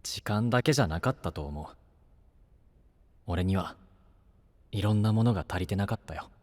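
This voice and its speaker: Brash, earnest young knight, very expressive. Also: male voice, on the low side, normal speed. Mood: calm, wry. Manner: blunt, casual rough masculine speech. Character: brash, earnest young male knight-to-be, rough-spoken but devoted. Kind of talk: anime-style voice drama acting.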